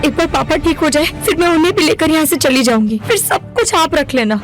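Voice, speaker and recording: A young woman played by a voice actress as a distressed but stubborn daughter in a melodrama. Her voice is high and full of earnest emotion, with soft music underneath. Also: fairly quick, lightly acted. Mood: pleading. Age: young adult. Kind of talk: melodramatic Hindi audio drama acting.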